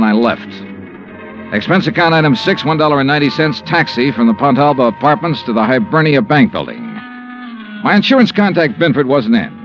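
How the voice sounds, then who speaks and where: A man plays a hard-boiled insurance investigator narrating his case in an old radio drama. His delivery is dry and clipped, with lively swings in pitch. The broadcast sound is muffled, with music clearly behind him. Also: close to an everyday voice. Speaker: narrator and lead.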